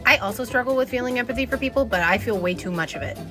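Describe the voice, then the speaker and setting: A woman's voice: a little high, very fast. A woman speaking in a scripted short video skit, stating things plainly in a back-and-forth. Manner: matter-of-fact back-and-forth statements. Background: music.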